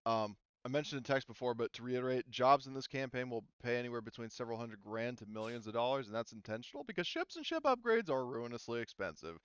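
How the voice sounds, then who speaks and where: Guy running a tabletop game chat, easygoing, clean smooth voice, slightly dull close audio. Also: medium pitch, expressive, quick pace, few pauses, no room sound. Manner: easygoing, laughs readily. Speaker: game master of a tabletop role-playing campaign. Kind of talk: casual group game discussion.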